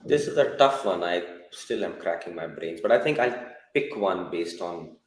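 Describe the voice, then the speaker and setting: A man's voice: low voice, animated, normal speed. A man giving reflective, conversational answers as a guest on a panel podcast. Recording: echoey room with noticeable background noise.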